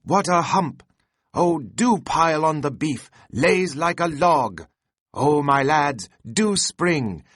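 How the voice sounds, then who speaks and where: A man voicing an excitable young male whaling mate who pleads shrilly with his crew. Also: a little high, takes it slowly, dry recording, clean studio sound, voice pushed up, heavily acted. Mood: frantic.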